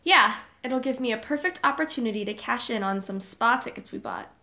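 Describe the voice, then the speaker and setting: Woman acting upbeat, higher-pitched high school girl. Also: animated, fairly quick, pushed and bright. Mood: eager, coaxing. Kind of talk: casual scripted audio drama acting.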